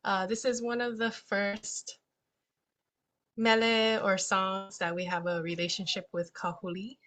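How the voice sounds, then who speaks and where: A woman's voice: medium pitch, flat delivery, a little slow, fairly bright tone. Chatty woman presenting on a video call. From Hawaii. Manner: chatty, with frequent ums and local Hawaiian words. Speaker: stewardship coordinator presenting a webinar. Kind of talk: casual online presentation. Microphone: computer mic on a video call.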